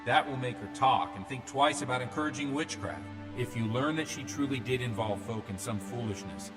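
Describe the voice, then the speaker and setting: An actor playing an earnest, fretful, paternal village priest in a video game, speaking in an emphatic, sermonizing manner. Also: male voice, medium pitch, fairly quick, close to an everyday voice. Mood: authoritative. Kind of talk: dramatic video game acting. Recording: long hall-like reverb under music.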